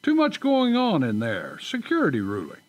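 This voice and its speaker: A man's voice: high voice, wildly swooping pitch, normal speed, full character voice. A man acting a flippant, reluctant government official in a radio drama. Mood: grudging.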